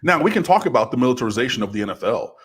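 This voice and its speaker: A man's voice: mid-range voice, sing-song, racing, breathy edge. A man with a big voice talking earnestly on a podcast, growing impassioned as he speaks. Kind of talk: casual talk.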